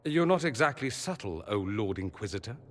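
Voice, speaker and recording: An actor playing a proud, sardonic, wounded young mage of noble birth in a video game, articulate and theatrical. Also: male voice, fairly low pitch, lively intonation, a little fast, long reverb tail, slight background noise, lightly acted. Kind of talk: dramatic video game acting.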